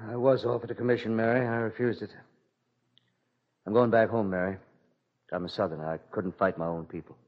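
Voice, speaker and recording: An actor playing a grave, courteous young Southern officer, polite and measured, in a 1940s radio drama. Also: male voice, fairly low pitch, steady tone, natural voice. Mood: solemn, resolute. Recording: muffled vintage 1940s radio recording.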